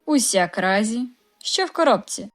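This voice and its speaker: A woman voicing a calm, caring young skeleton older brother in a comic dub, with a gentle, easygoing manner. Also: fairly high pitch, normal speed, big-room sound, soft background music, natural voice.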